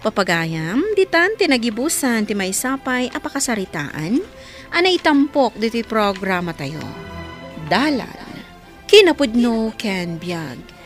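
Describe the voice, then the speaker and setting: A woman with an Ilocano accent reading scripted narration as a radio programme announcer. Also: on the high side.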